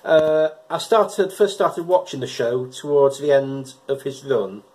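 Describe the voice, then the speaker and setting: A man's voice: mid-range voice, expressive, darkish tone. A middle-aged man with a head cold, talking casually to camera in a personal vlog, often repeating words as he restarts. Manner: chatty, halting with repeated words. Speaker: vlogger reviewing episodes. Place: living room indoors, and a car park outdoors at night.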